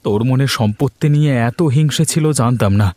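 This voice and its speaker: A man's voice: mid-range voice, a little fast, a little mellow, no room sound, natural voice. A man voicing a wronged, protective father and elder brother who pleads earnestly in a cartoon. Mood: pleading. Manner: earnest, imploring. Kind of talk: Bengali moral-story cartoon dubbing. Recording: music under the voice.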